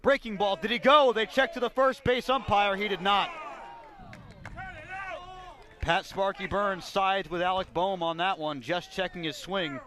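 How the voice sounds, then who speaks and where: An American man works as a radio play-by-play announcer at a baseball game. His voice sits a little higher than average for a man, and he delivers live commentary on the game. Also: a little slow, a bit bright. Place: ballpark radio broadcast.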